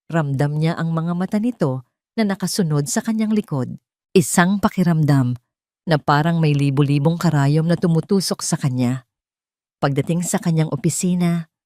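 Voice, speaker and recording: A woman's voice: low voice, darkish tone, no echo, clean studio sound. A woman reading a scripted romance audio drama as a dramatic storyteller.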